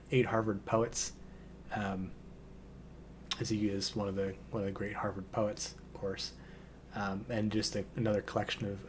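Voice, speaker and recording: Hoarse, hesitant man reading poetry. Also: on the low side, slight background noise. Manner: hesitant, many ums and restarts. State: slightly hoarse voice. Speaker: poetry channel host. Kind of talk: casual intro and poetry reading.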